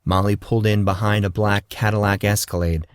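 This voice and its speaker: A male audiobook narrator with a fairly low voice, telling the story at a steady, measured pace. The sound is dry and close, with no room around it. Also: clean tone, no echo.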